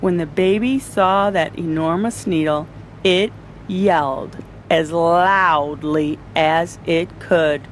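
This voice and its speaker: A woman reading a children's story aloud in an animated, deliberate storytelling style. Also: fairly low pitch, slight background noise.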